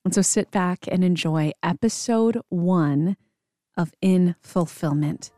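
A woman's voice: lowish voice, slow, mellow, no room sound, studio quality. A woman hosting a podcast with an informal, chatty introduction style. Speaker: podcast host.

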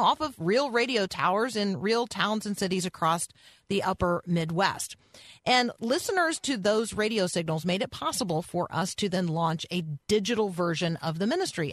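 Female radio host for faith programming: chatty, encouraging, medium pitch, close dry sound, speaks straight to listeners. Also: fairly bright tone, no echo.

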